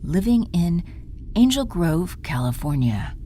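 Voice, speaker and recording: A woman's voice: on the low side, big pitch swings, mellow. A woman playing a cold, scheming alien villainess in an audio drama, speaking imperiously and sinking into menacing murmurs. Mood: commanding. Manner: deliberate, imperious, drops into breathy menacing murmurs. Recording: faint background noise.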